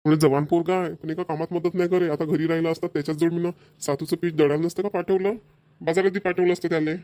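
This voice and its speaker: A middle-aged cartoon village father, voiced by a man grumbles at his idle son. He talks quickly, in a rather high voice. The sound is dry and close. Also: steady tone, warm tone, dry recording, natural voice. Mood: grumbling. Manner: rural Marathi dialect, grumbling, repetitive. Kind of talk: cartoon voice acting.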